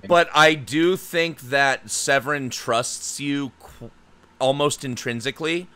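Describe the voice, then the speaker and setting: A man's voice: mid-range voice, takes it slowly, sharp tone, smooth, no room sound. A man playing a tabletop game, hesitant with lots of fillers and restarts. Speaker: player. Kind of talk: tabletop game play, in and out of character.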